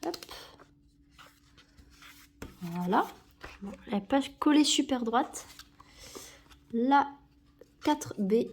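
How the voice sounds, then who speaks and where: A woman's voice: on the high side, lively intonation, drawn-out words, pauses often, slightly rough. A young woman with a cold, chatting casually and correcting herself with many fillers as she sticks stickers into an album. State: has a cold. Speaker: YouTuber opening sticker packs.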